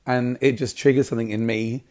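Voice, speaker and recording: A man with a slightly raspy voice, talking casually and keenly as an interview guest about his work. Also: mid-range voice, level tone, brisk, a little mellow. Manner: enthusiastic. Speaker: hairdresser, salon owner, interview guest.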